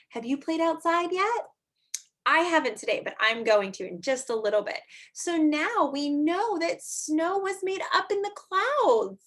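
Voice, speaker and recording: High, very lively woman reading to kids. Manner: animated, expressive, speaking to young listeners. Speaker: teacher reading picture books aloud. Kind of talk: read-aloud for young children. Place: small furnished room at home, indoors.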